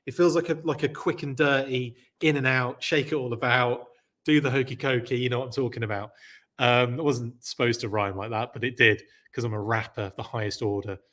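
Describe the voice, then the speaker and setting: A man's voice: medium pitch, fairly even intonation, brisk, fairly bright tone. A man hosting a live stream, chatting loosely and reading viewer comments aloud, his throat a bit scratchy. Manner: chatty, rambling, self-correcting. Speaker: podcast host.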